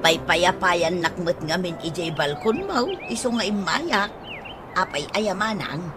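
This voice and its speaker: A woman's voice: lowish voice, expressive, a little slow, loud music behind the voice. A woman playing a plain-spoken middle-aged mother who frets about money, with an Ilocano accent, in a radio comedy drama. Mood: nagging.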